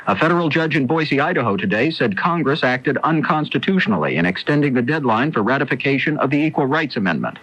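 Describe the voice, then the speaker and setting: A man's voice: mid-range voice, expressive, quick pace, hardly any pauses, a bit bright, husky. An American man reading scripted news as a radio anchor on an old AM broadcast. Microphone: close dry studio broadcast mic. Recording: dull vintage AM radio recording.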